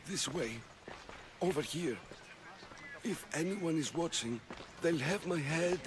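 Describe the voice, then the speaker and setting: An actor playing a nervous, pious old temple priest in a video game, hesitant and evasive in manner. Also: male voice, fairly high pitch, expressive, background noise. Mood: fearful, hushed urgency. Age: older. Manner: hesitant, halting, secretive. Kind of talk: video game dramatic dialogue.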